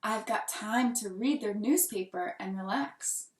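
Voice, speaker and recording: A woman voicing a contented, easygoing storybook mom who speaks softly. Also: medium pitch, measured pace, natural voice. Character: contented storybook mom seeking a quiet rest. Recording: roomy, echoing room sound.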